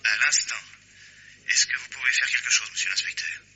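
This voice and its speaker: A man's voice: mid-range voice, a little fast, heavy background noise, natural voice. An actor playing an anxious man appealing to the police, hesitant and worried, in a radio mystery drama. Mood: anxious, subdued. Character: anxious man pleading with police about his friend.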